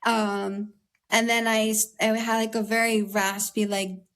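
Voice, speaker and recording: Slightly raspy young woman, earnest talk. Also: mid-range voice, flat delivery, measured pace, fairly bright tone. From United States. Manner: earnest, heavy use of "like" and "um". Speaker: interview guest. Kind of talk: candid conversational interview.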